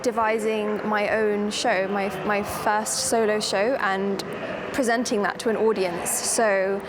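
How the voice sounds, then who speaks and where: A woman's voice: mid-range voice, steady tone, takes it slowly. A woman from Leicester with Gujarati as her first language, a poet and performer, speaking reflectively in a documentary interview. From Leicester, England. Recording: noisy background hum.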